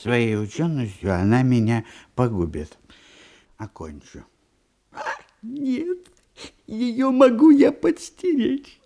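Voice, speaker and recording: An actor playing a blustering older uncle in a radio stage comedy, flustered and stammering, with groaning interjections. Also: male voice, medium pitch, takes it slowly, pauses often, warm tone. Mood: flustered bluffing.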